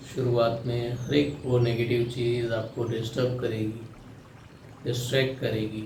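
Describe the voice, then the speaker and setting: A man with an Indian accent leading a guided meditation, giving calm, soothing instructions with long pauses. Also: medium pitch. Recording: roomy echo, distant mic, faint noise.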